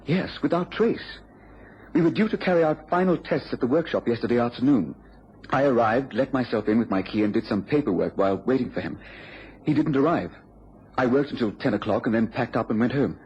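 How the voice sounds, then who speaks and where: A man's voice: mid-range voice, fairly quick, darkish tone, husky. Worried British man pleading for help. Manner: earnest, worried, stammering slightly. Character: anxious inventor's assistant pleading for a detective's help. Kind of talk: radio drama acting. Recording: dull, noisy radio recording.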